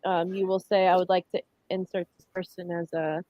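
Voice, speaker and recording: A woman's voice: fairly low pitch, flat delivery, fairly quick, warm tone, dry recording, lo-fi sound. A woman hosting a casual livestream discussion, congested from a cold, chatty and full of fillers and self-corrections. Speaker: livestream host.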